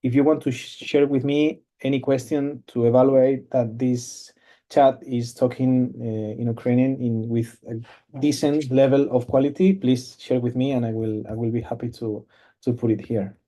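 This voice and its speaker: Halting man presenting on a webinar. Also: mid-range voice, fairly even intonation, dark tone. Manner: halting, many fillers and restarts. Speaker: cloud company presenter. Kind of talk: webinar product demo. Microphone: video call.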